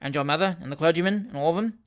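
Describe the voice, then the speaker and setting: Figure: nervous young gentleman, voiced by a reader. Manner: timid, polite. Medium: storybook audiobook. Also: mid-range voice, rapid-fire, close to an everyday voice. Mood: relieved. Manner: timid, then eager politeness.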